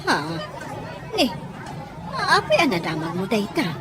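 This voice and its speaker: Woman as flustered mother, Ilocano accent, lively intonation, radio drama acting, faint music behind. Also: fairly high pitch, measured pace, crowd noise. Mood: puzzled.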